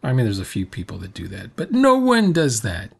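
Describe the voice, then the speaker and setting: A man's voice: medium pitch, huge pitch swings, fairly quick, creaky, breathy edge. An older man giving casual reaction commentary, speaking emphatically and repeating phrases for effect. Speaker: commentator reacting to a recorded roleplay session.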